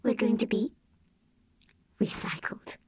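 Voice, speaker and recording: A woman voicing a gentle, resolute robot teddy bear in an audiobook story, soft and husky, speaking slowly and earnestly. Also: whispered, lightly acted. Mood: solemn. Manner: slow, earnest, deliberate.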